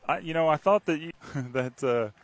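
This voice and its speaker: A man calling a high school football game on local radio, chatty and rambling, teasing his broadcast partner. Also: normal speed. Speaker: play-by-play announcer.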